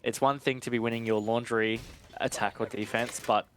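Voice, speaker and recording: A man's voice: mid-range voice, steady tone, moderate pace, fairly bright tone, a little hiss or hum. A man giving analytical live esports commentary in a conversational way with casual asides.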